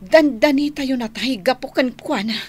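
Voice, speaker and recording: A woman's voice: high-pitched, normal speed, slightly rough. An actress with an Ilocano accent playing a stubborn, wounded young woman in a radio drama, emphatic and melodramatic. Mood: resentful. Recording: slightly noisy AM radio.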